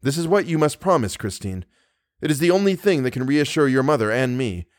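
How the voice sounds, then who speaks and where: A lovesick young gentleman from a classic novel, as a male reader plays him. He is courteous and anxious, speaking formally with impassioned, widely moving pitch in a dry recording. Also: expressive, fairly quick, dry recording, natural voice. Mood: urgent, insistent.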